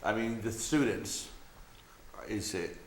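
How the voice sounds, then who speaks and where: A man's voice: fairly low pitch, slow pace, roomy sound. A man in casual conversation, reasoning aloud and offering tentative suggestions.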